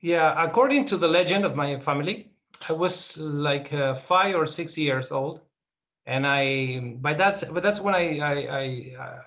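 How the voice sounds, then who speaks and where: Halting Argentinian man, higher-pitched voice. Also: fairly bright tone. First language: Spanish. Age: middle-aged. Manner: hesitant, halting, searching for words. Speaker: interview guest, filmmaker. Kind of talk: informal interview over video call. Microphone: video call. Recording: slightly muffled video-call audio.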